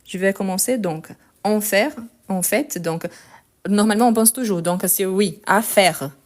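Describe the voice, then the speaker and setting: A woman teaching French in a live online lesson, correcting a text step by step. She explains patiently, repeats words often and talks into a phone she holds in her hand. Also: mid-range voice. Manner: slow, patient explaining with many "donc" and repetitions. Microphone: phone held in hand.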